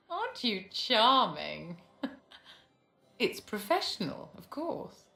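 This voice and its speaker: An actress playing a poised, aristocratic mage in a video game, speaking in a measured, courtly and haughty manner. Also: female voice, on the high side, wildly swooping pitch, vocal fry, sharp tone, heavily acted. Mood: amused. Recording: reverberant hall with music underneath.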